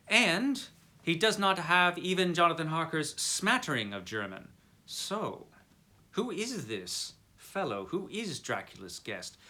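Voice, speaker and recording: A man's voice: fairly high pitch, pushed and bright. A man reading a classic horror story aloud in a melodramatic, theatrical style. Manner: theatrical, dramatic narration with wide swings in emphasis.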